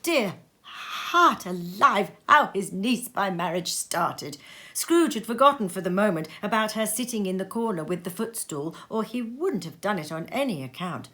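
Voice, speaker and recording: A woman narrating a classic tale as an expressive storybook reading at home, lively and theatrical, with many changes of voice. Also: mid-range voice, moderate pace. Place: cozy sitting room at home.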